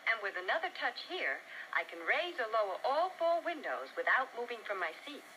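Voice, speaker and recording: A woman giving a chatty, demonstrative scripted sales pitch in the style of a 1950s television car commercial, on a vintage soundtrack. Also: sing-song, brisk, noisy background. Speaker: commercial spokeswoman.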